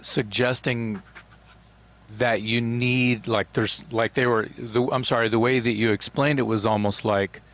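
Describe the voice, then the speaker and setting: A man hosting a podcast interview, talking in a halting, casual way with many restarts. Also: mid-range voice, steady tone, a bit bright. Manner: halting, restarts his sentences. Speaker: podcast host.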